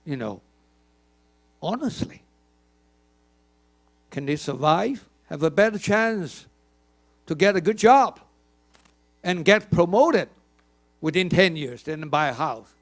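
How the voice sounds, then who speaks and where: A man's voice: a little high, big pitch swings, slow, pauses often. An older man giving a conference keynote, emphatic, asking the audience questions and often repeating words. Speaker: keynote speaker. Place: conference hall.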